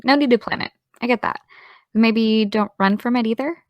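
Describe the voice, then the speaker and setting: A woman playing a caring, gently teasing young woman in an audio drama, speaking in a casual, slightly tentative way. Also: a little high, moderate pace, no room sound, lo-fi sound, close to an everyday voice. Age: young adult. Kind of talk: naturalistic audio drama dialogue.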